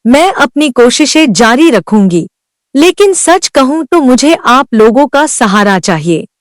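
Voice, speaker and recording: A woman's voice: sits high, sing-song, a little slow, no echo, clean studio sound. A woman voicing Sunita, a worn-down young village wife who pleads earnestly for help in a cartoon. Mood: pleading. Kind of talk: Hindi cartoon voice acting.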